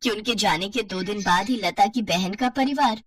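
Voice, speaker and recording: A woman's voice: mid-range voice, normal speed, slightly rough, no echo, distant mic. A woman narrating a scripted story in a dramatic storytelling style.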